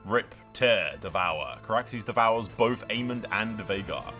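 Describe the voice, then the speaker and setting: A man's voice: on the low side, expressive, a little slow, bright, forceful tone, faint music behind. A man voicing a grim, menacing warrior prince, narrating a dragon battle in solemn, theatrical fantasy roleplay. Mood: solemn, dramatic.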